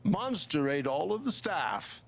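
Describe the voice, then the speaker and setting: An actor playing a wry gnome in a fantasy game, with a dry, theatrical drawl. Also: male voice, on the high side, very animated, unhurried, gravelly, exaggerated voice. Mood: deadpan. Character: wry, deadpan fantasy gnome survivor in a tavern. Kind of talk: comic fantasy game acting.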